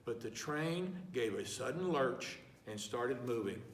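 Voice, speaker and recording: A man reads a picture book aloud with slow, deliberate, expressive pacing. His pitch is middling. He sounds set back from the mic, in a roomy space with noticeable echo. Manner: slow, deliberate, expressive, clears his throat between pages. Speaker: parent volunteer reader. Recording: echoey room, mic far off.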